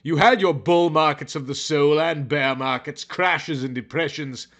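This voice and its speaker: A man's voice: fairly high pitch, a little slow, fairly bright tone. Theatrical man narrating a brooding story. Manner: theatrical, brooding, overwrought delivery. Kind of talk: dramatic scripted narration.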